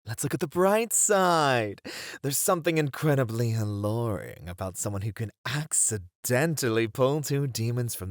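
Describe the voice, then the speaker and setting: A performer voicing a flirty, playfully mocking demon in an ASMR roleplay, with a drawn-out, purring delivery. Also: medium pitch, dry recording, studio quality.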